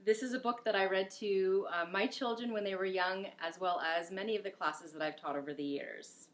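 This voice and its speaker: A middle-aged woman reading a picture book aloud as a teacher, expressive and explanatory, with deliberate delivery. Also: medium pitch. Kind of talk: expressive children's story reading.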